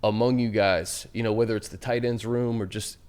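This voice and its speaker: An American man with a fairly low voice hosts a sports interview. He sets up his questions conversationally, in a casual talk-show style. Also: steady tone, brisk, darkish tone, clean tone.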